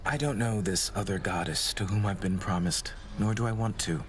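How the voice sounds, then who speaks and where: A courtly god of doom played by a man speaks in a low, measured voice. He is formal, melancholy and gently devoted, like a suitor in a fantasy game romance. There is some ambient noise behind him. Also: natural voice. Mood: tender, earnest. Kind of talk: scripted video game romance dialogue.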